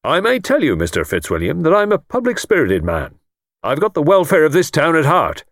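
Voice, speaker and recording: A man's voice: on the high side, wildly swooping pitch, fairly quick, no room sound, clean studio sound, voice pushed up, heavily acted. A man voicing a pompous, boastful self-made older lord who talks in a blustering, self-satisfied way.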